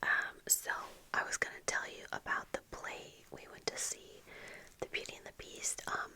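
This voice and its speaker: A young adult woman whispering softly and breathily into a close microphone in casual, rambling, unhurried ASMR chat. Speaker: ASMR video creator. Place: small room at home with bookshelves. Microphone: close desk microphone with fluffy windscreen.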